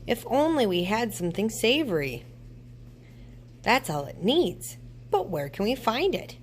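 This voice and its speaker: A woman's voice: fairly high pitch, sing-song, a little slow, a little hiss or hum, pitched above the performer's own voice. A gleeful old storybook witch, played by a woman reading aloud. She chants and cackles theatrically, lifting well up and swooping widely through her lines. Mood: wistful. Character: gleeful old witch cooking bone soup.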